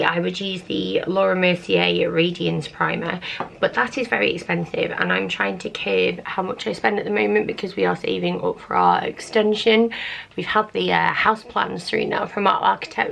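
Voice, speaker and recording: A British woman in her thirties, husky from the end of a cold, chatting casually and laid-back through a make-up tutorial. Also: medium pitch, a bit bright. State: getting over a cold. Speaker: beauty vlogger.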